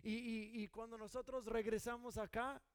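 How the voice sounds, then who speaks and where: A man's voice: extremely high pitch, flat delivery, moderate pace, clean tone, dry recording, clean studio sound. A middle-aged man from California preaching a sermon in an earnest, conversational way, often restarting his sentences. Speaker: pastor.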